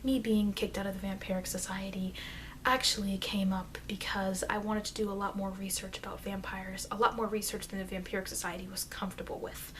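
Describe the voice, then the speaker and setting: A woman playing a chatty, informal immortal vampire teacher in an ASMR roleplay, rambling hesitantly and confidingly, with soft dips into whispering. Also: medium pitch, flat delivery, brisk, close to an everyday voice. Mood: confiding, defensive. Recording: noisy amateur microphone.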